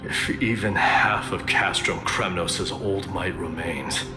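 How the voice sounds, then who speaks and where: A gruff, proud warrior from a fantasy game. His deep-leaning voice is blunt, stern and sardonic, sounding in an echoey space with music underneath. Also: slow pace. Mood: grim. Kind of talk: video game character acting.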